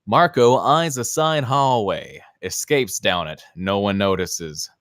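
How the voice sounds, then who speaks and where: A man's voice: fairly low pitch, slow pace, a bit bright, smooth, dry recording, studio quality. A man reading scripted screenplay narration in a theatrical, clipped present-tense style. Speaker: stage-direction reader at a table read.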